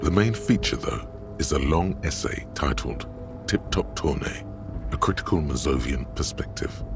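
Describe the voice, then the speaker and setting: Cerebral game narrator, rock-bottom pitch, creaky gravel, deliberate pacing, dry irony. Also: male voice. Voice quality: very deep, gravelly. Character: dry, erudite inner narrator voice of a detective's mind. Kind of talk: scripted narration of a video game. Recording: music behind. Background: game music.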